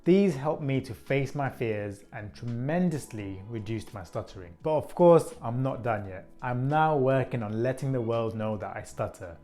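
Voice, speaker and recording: A man in his thirties hosting his own video channel, talking to camera in an earnest, measured way, with an occasional stutter slowing his words. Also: medium pitch. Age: 30s. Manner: earnest, even and measured, now and then slowed by a stutter. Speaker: channel host. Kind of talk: scripted vlog talk to camera.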